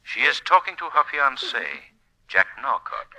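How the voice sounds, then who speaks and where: A man's voice: medium pitch, expressive, bright, forceful tone. A man acting a sinister, all-knowing narrator in a 1940s radio thriller, theatrical and ominous, drawing out his words. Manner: ominous, theatrical, drawn-out delivery. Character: sinister, omniscient male narrator relishing crime and doom. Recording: old 1940s radio broadcast.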